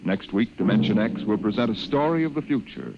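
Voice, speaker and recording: A man's voice: fairly low pitch, expressive, a little fast, very noisy. A man announcing a scripted preview in dramatic 1950s radio style. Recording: thin, phone-like old radio recording.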